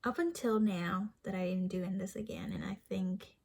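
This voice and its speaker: A young woman with a light Vietnamese accent talks to the camera in an easy, rambling way and often restarts her sentences. Her voice is slightly breathy and husky, at an ordinary middle pitch. She sounds self-deprecating and casual. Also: moderate pace, darkish tone. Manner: chatty, self-deprecating, with frequent restarts and small laughs. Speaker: vlogger.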